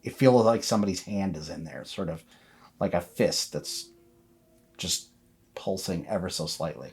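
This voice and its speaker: A man with a deep voice acts as game master for a tabletop horror game. He narrates the scene to his players in a conversational way and calls for dice rolls. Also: moderate pace, a little mellow, slightly rough, faint music behind.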